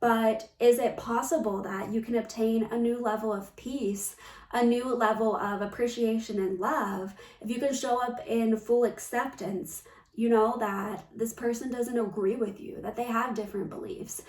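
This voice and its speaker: A woman giving a reflective spiritual talk as a coach, gentle and earnest, often pausing mid-phrase. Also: a little high, fairly even intonation. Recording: roomy echo, distant from the mic.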